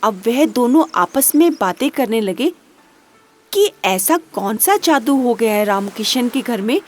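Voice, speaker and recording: A woman's voice: on the high side, expressive, measured pace, darkish tone. A woman narrating a children's moral story in a simple storytelling manner.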